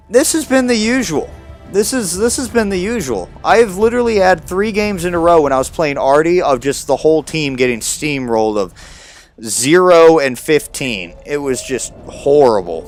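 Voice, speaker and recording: A man's voice: a little high, animated, moderate pace, faint music behind. A young American man giving casual, sarcastic commentary while streaming a game, grumbling about his bad luck. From United States.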